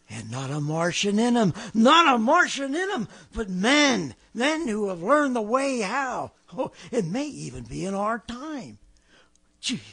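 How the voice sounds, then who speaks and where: A half-mad survivor scheming for power in an old radio play. The voice is high and wildly swinging, with a gravelly rasp. He rants theatrically, moving between muttering and shouting. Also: no echo, exaggerated voice. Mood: manic. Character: crazed, power-hungry male survivor scheming against invading Martians. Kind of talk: 1930s radio drama acting.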